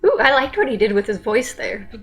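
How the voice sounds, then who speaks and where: A woman's voice: on the high side, sing-song, fairly quick. Chatty woman reacting, rambling and apologetic. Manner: rambling, apologetic, with laughing asides. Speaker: reaction video host. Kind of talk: casual reaction commentary. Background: faint music playing.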